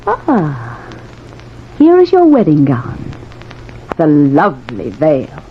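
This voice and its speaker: Haunting, imperious specter bride in cavernous reverb. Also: female voice, sits low, huge pitch swings, slow, heavily acted. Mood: cruel taunting. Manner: imperious, taunting, theatrical. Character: vengeful, possessive, haughty female specter bride. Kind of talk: old-time radio horror drama acting. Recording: muffled, noisy old radio recording, long reverb.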